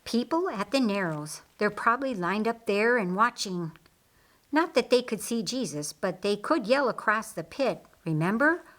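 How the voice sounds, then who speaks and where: A woman voicing a reluctant, matter-of-fact brother who explains gravely in a Bible story audiobook. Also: mid-range voice, animated, moderate pace, a bit bright, natural voice. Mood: thoughtful. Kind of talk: audiobook character dialogue.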